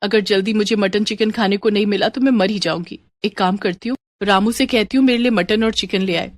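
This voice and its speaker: A woman voicing an eager, impatient young bride in an expressive storybook dramatization. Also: mid-range voice, fairly quick, dry recording, lightly acted. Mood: fretful. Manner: expressive, exclaiming. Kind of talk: Hindi moral-story dramatization.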